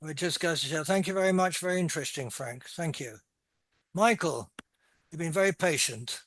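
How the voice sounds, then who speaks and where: A man's voice: on the high side, normal speed, a bit bright, no room sound. Courteous male discussion host. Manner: affirming and courteous. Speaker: host and moderator. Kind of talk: moderating a group discussion.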